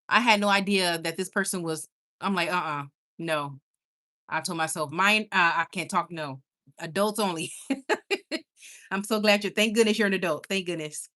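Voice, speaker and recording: A young woman with a Caribbean Spanish accent, hosting a casual late-night livestream from home and chatting with viewers in a bubbly, talkative way. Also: medium pitch. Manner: chatty, bubbly, squeals with excitement, coos to her dog. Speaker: livestream host. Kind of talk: casual live chat reading viewer comments.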